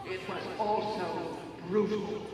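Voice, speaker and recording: Actress as a stern overseer declaiming in a hall. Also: female voice, medium pitch, steady tone, slow pace, music in the background, close to an everyday voice. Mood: solemn, menacing. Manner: declamatory, solemn, preaching. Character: stern older female overseer leading a public execution. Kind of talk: dramatic audio play speech. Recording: echoing hall, far off, noisy crowd.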